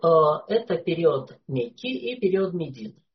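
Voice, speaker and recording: A lecturer giving an unscripted talk, hesitating often and filling pauses with drawn-out "uh" sounds. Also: mid-range voice, big pitch swings. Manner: hesitant, frequent "uh" fillers. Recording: dull lo-fi recording.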